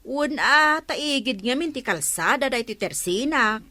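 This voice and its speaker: A woman's voice: high-pitched, lively intonation, measured pace, pushed and bright, full character voice. A woman with an Ilocano accent playing a no-nonsense village shopkeeper in a radio comedy drama. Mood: matter-of-fact.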